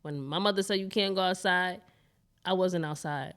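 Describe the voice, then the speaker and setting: A woman's voice: fairly low pitch, normal speed, a bit bright. A woman on a podcast panel, telling stories emphatically in casual chat. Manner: emphatic storyteller.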